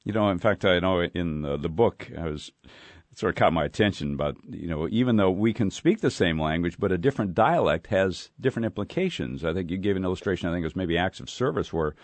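A man hosting a radio interview, talking in a halting way with frequent fillers. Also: low-pitched, expressive, no echo. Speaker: radio host.

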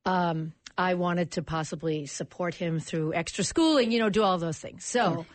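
A lower-pitched American woman tells a heartfelt story as a guest on live radio. She is animated and emotional. The studio sound is dry and close. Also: normal speed, dry recording. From United States. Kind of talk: interview storytelling.

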